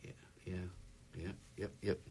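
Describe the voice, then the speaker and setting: A man's voice: a little slow, dark tone, slight background noise. A man hosting a casual chat show, talking loosely and reading news stories aloud with wry asides. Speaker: podcast host.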